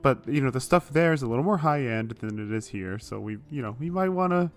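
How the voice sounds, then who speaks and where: Mid-pitched man chatting through a tabletop game. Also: animated, fairly quick, faint music behind. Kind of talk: casual chat in actual play.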